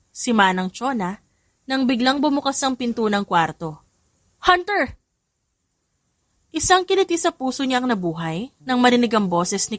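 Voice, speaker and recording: A woman's voice: fairly high pitch, no room sound. A woman narrator reading a scripted dramatic story. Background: background music.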